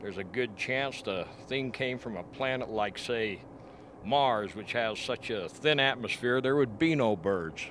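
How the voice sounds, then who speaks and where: Guy playing a grim scientist doc in a radio drama, explains stuff slowly and plainly, bit of noise behind. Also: medium pitch, lightly acted. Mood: firm, explanatory. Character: grim, frank male scientist doctor at a polar outpost. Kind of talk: amateur radio play acting.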